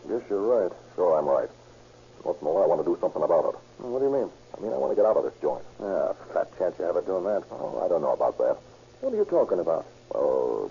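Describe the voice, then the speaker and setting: A man's voice: low-pitched, mellow, slightly rough, exaggerated voice. An actor playing a brazen, scheming career robber with slangy tough-guy talk in an old radio crime drama. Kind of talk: 1940s radio acting. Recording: muffled vintage radio recording.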